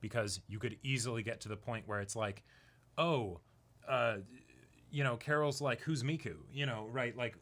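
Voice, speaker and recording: A man's voice: mid-range voice, moderate pace, a bit bright. A man in a casual discussion as a co-host, talkative and explaining his points as he goes. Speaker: co-host of an anime reaction discussion.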